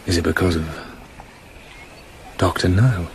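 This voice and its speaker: An actor playing a cool, suave British secret agent in a radio drama, understated and brooding. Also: male voice, low voice, a little slow, slight background noise.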